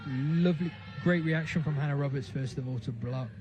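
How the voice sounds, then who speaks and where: A man working as co-commentator on a futsal match, calmly reflecting on each chance after the lead caller. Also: a little fast, over music. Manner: calm, reflective, lower-key than the lead. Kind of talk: match analysis.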